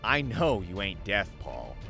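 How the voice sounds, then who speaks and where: Wildly animated, taunting crime boss. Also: male voice, low-pitched, creaky, soft background music, exaggerated voice. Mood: taunting, commanding. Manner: taunting, toying, drawn-out threats. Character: menacing, mocking male crime boss villain, falsely friendly. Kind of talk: audio drama acting.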